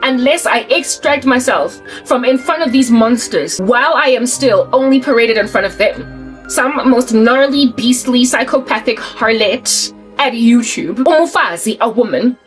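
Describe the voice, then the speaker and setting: A woman's voice: a little high, lively intonation, measured pace, soft background music. A South African woman in her forties, talking casually to camera in an emphatic, colloquial rant that repeats and restarts words. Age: 40s. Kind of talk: casual ranting monologue to camera.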